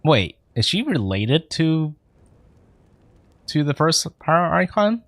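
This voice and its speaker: A man's voice: a little high, very animated, takes it slowly, a bit bright. A man giving casual let's play commentary as a game streamer, chatty and reacting aloud.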